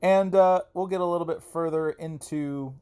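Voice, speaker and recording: A man's voice: on the high side, fairly even intonation, moderate pace, mellow, clean tone. Conversational man hosting a solo podcast. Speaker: podcast host. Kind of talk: casual solo podcast monologue.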